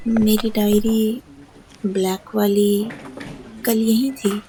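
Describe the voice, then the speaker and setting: A woman's voice: medium pitch, level tone, drawn-out words, dark tone, low-quality recording. An actress playing a cold, guarded, lonely young office woman in an audio romance drama, terse and withdrawn. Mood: puzzled, guarded. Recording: music bed under voice.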